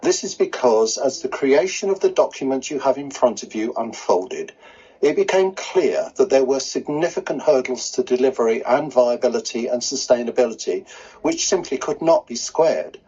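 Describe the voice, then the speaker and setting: A man delivering a formal prepared speech at a council meeting in measured, official wording. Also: mid-range voice, expressive, dark tone. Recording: dull lo-fi meeting recording.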